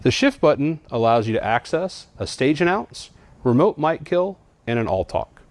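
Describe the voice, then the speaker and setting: A man's voice: on the high side, big pitch swings, normal speed, no room sound. Man narrating a product walkthrough, dry studio sound. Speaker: product trainer.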